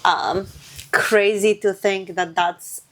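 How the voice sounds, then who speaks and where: A young woman vlogger in casual chat, chatty and often laughing, with drawn-out filler words. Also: mid-range voice, fairly even intonation, a bit bright. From Poland.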